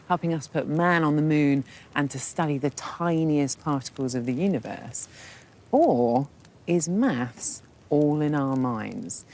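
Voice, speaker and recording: Documentary presenter, woman, thirties, low gravelly voice, music under her. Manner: clear, measured, thoughtful delivery. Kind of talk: scripted documentary narration.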